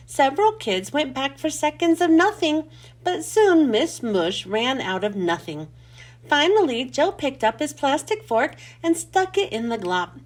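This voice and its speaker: A woman reading a children's storybook aloud as host, with expressive, clearly enunciated delivery. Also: on the high side.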